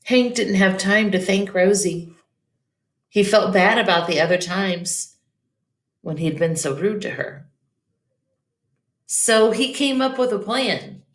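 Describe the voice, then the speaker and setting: Slow-paced woman reading a children's story. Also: medium pitch. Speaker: teacher reading a picture book aloud. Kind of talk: expressive children's storybook narration. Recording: echoey room.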